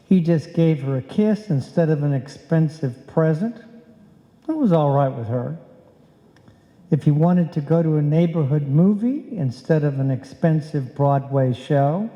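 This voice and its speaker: A man playing a dry, long-suffering husband with a sardonic, deadpan delivery in an old-time radio comedy. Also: on the high side. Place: live performance in a reverberant hall. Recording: dull sound, echoey hall, live performance.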